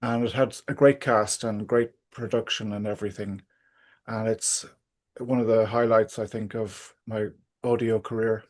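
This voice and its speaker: A middle-aged or older man, talking casually and reflectively as an interview guest, pausing often to think aloud. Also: on the low side, fairly even intonation.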